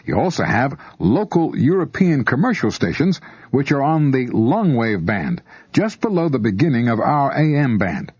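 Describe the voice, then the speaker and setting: A man's voice: medium pitch, very animated, moderate pace, a little mellow, slightly rough. An American man reads scripted instructional narration, explaining things step by step and speaking directly to the listener. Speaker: narrator of an instructional audio tape. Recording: dull lo-fi old cassette tape.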